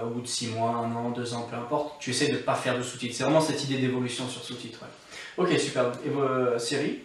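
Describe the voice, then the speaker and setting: A man in his thirties hosting a casual interview, encouraging with quick affirmations. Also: medium pitch. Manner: encouraging, frequent short affirmations. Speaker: host, English teacher. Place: living room, on a couch. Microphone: far camera mic. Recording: echoey room, from a distance.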